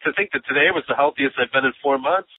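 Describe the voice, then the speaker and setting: A man's voice: mid-range voice, quick pace, slightly rough, no room sound. An American man chatting casually with a friend in rambling, sardonic rants, a bit congested and short of breath. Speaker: podcast host. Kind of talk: casual phone chat between friends. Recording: muffled lo-fi phone-call audio.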